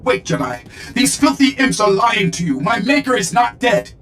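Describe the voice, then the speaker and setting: A failing loyal droid, high and stiff. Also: male voice, sing-song, moderate pace, a bit bright, a little hiss or hum. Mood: urgent. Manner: stiff, mechanical, urgent. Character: loyal damaged male research droid.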